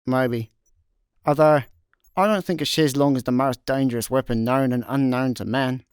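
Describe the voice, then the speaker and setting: A man's voice: mid-range voice, moderate pace, smooth, dry recording, clean studio sound, lightly acted. A man playing an easygoing, slightly more sensible slacker in a comedy sci-fi radio play, casual and hesitant. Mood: pondering.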